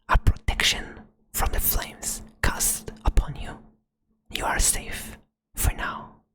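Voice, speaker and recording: A woman whispering a fantasy adventure to the listener in the second person, close to the microphone, as ASMR narration. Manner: soft whisper throughout, second-person telling. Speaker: storyteller.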